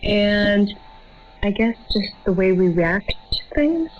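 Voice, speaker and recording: A woman's voice: mid-range voice, expressive, takes it slowly. A nineteen-year-old woman talking casually as an interview guest. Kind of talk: casual chat. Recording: muffled, lo-fi audio.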